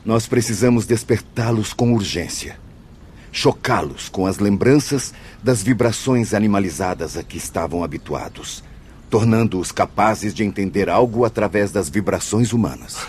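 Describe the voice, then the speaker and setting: A Brazilian actor playing a grave, insistent spirit healer in a radio drama, with formal, emphatic delivery and a Brazilian accent. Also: male voice, on the low side, moderate pace, darkish tone, a little hiss or hum, close to an everyday voice. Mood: grave, urgent. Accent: Brazilian Portuguese.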